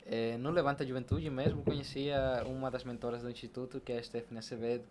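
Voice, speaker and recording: A man's voice: mid-range voice, level tone, fast talker, noisy background. A young adult man with a Brazilian Portuguese accent, a podcast guest telling personal stories casually and acting out what was said. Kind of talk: casual personal storytelling in an interview.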